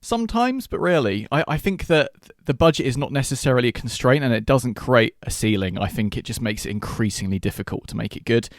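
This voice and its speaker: Fast-talking man discussing films. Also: medium pitch, animated, no echo, clean studio sound. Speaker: podcast host. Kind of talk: conversational film discussion.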